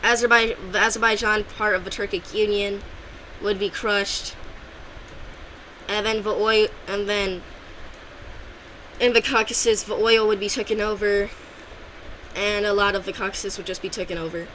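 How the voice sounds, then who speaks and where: A woman's voice: mid-range voice, fairly even intonation, normal speed, pauses often, sharp tone, a little hiss or hum, poor audio. A woman narrating an alternate-history map video in a loose, unscripted way, often restarting and correcting herself mid-sentence. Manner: loose and rambling, with frequent restarts and self-corrections. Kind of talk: casual unscripted map commentary.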